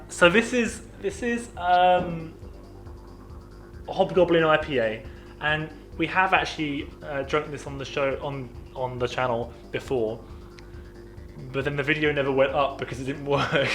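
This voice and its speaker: A young man chats casually on a homemade podcast, joking and laughing between lots of ums. His voice sits a little higher than most men's. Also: a little slow, sharp tone, faint music behind. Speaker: podcast co-host. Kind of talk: casual chat over beer.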